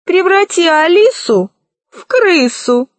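A woman's voice: very high voice, big pitch swings, slow pace, no room sound, pitched above the performer's own voice, full character voice. A woman voicing a jolly, mocking, cruel male villain in a children's storybook. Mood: breezy. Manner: gleeful, mocking.

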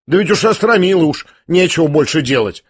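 A male reader puts on an older lady of rank who scolds everyone around her. The voice is pitched up and husky, loud and indignant, hurling reproaches in a storybook reading. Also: lively intonation, brisk. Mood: indignant scolding. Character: agitated older noblewoman, fiery and scolding. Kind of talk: audiobook character reading.

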